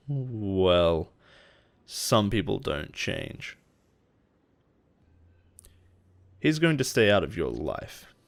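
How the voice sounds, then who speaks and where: Guy playing a smooth possessive ceo boss, low voice, really slow and measured, intimate roleplay monologue. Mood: grave, protective. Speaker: voice actor in a roleplay audio.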